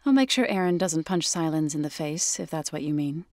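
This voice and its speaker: Low-pitched, earnest warrior woman. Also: lively intonation, brisk, a little mellow, dry recording. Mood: earnest, steady. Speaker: video game character. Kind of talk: scripted video game dialogue.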